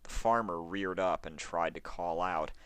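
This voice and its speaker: A man's voice: sits low, measured pace, smooth, no echo. A man with a sore throat reading fan fiction as a scripted story narration.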